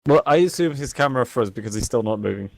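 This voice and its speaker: A man's voice: medium pitch, expressive, fast, no echo. A man playing a tabletop game over voice chat, throwing in sarcastic quips and casual swearing. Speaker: player.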